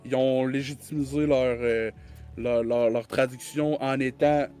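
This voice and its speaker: A man's voice: mid-range voice, steady tone, unhurried, warm tone, soft background music. A man with a Quebec French accent, a podcast guest chatting casually and rambling through many restarts. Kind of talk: casual conversational chat.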